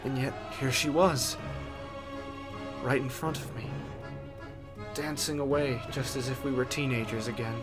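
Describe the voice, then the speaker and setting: A man narrating a first-person horror story in a theatrical way, with deliberate pauses. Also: medium pitch, fairly even intonation. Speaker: writer and narrator. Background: music.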